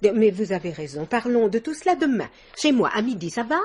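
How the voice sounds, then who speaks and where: A woman's voice: medium pitch, big pitch swings, fairly quick, dark tone, breathy edge. An actress playing a worldly, domineering older society woman in a vintage French radio drama, theatrical and effusive with little laughs. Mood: mannered, charming.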